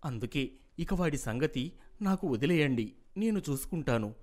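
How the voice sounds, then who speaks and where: A man's voice: a little high, animated, normal speed, darkish tone, natural voice. Man as a protective, reasoning elder brother. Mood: placating. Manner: reasoning, placating, advising. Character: responsible, protective, affectionate elder brother and dutiful son. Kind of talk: dramatised moral story for animation.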